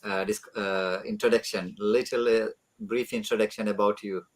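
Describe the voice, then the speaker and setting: A man with a Sri Lankan accent hosting an online panel discussion, opening with religious greetings and pausing on many fillers. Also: lowish voice, fairly even intonation. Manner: opens with Islamic greetings, halting with many fillers. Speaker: host.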